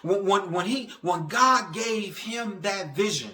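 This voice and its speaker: In a roomy, echoing space, a man preaches with a bright, forceful voice that sits a little high. He delivers an impassioned motivational sermon, stumbling and stammering at times, and circles back to repeat phrases for emphasis. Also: takes it slowly. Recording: echoey, reverberant room sound.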